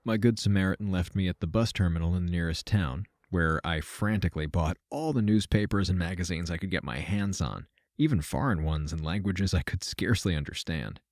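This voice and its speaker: An actor playing an uneasy, wry traveler, narrating a science fiction audio drama in a deliberate, self-mocking storytelling manner. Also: male voice, sits low, darkish tone, no echo, clean studio sound, close to an everyday voice. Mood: wry, reflective. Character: uneasy, wry male traveler narrating a simulation-hopping adventure. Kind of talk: scripted first-person audio drama narration.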